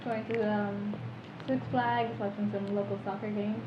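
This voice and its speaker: A woman's voice: medium pitch, level tone, measured pace. A teenage girl chatting casually as a guest on a talk show. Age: teens. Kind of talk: casual chat. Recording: dull audio with clear background noise.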